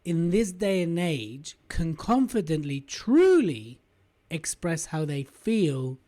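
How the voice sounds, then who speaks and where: Higher-voiced male podcast host. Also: very animated, takes it slowly, dark tone. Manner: probing, summarizing, affirming. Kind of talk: podcast interview.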